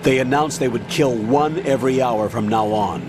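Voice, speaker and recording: A man's voice: mid-range voice, normal speed, noisy background, close to an everyday voice. A man voicing a stern, curt military colonel. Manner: curt, authoritative orders. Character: commanding older male colonel on the radio. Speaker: mission commander. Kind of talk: video game cutscene voice acting.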